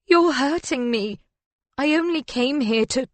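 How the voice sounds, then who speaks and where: A panicked, guilty woman pleading with her lover in an audiobook, acted out by a female narrator. She whines and falters, croaky and stammering, in a dry studio sound. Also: high-pitched, unhurried, no room sound, pitched above the performer's own voice. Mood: frightened. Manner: croaking, stuttering, whining.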